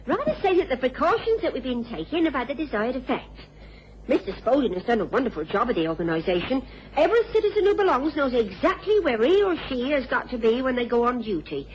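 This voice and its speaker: An actress playing a sensible, protective English innkeeper's daughter in a radio drama, firm and soothing. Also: female voice, high-pitched, sing-song, fast talker. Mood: firm, reassuring. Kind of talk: British radio drama acting. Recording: dull old radio recording, clear noise.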